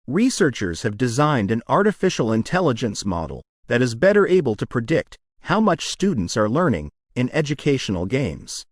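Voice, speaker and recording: Man reading practice passages, lively intonation. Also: mid-range voice, normal speed, hardly any pauses, no echo, studio quality. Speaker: narrator of English test practice passages. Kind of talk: scripted read-aloud narration.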